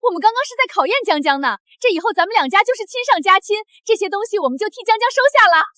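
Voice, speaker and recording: Snooty scheming woman in a short drama, high voice that swings around a lot. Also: lively intonation, fast talker, fairly bright tone, no echo, clean studio sound. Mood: fawning, scheming. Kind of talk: Chinese short drama acting.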